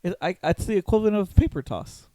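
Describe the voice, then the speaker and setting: A hoarse man hosting a podcast in loose, rambling casual chat, full of fillers and swearing. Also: high voice, animated, fast, mellow, no room sound. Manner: rambling, loose and profane, with lots of fillers and laughs. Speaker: podcast host.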